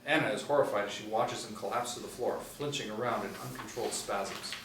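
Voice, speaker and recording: A middle-aged man narrates a screenplay in a fairly low voice. He is recorded from some distance in a roomy space, with faint background noise. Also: fairly quick. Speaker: narrator reading stage directions. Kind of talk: scripted narration. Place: small indoor room, seated.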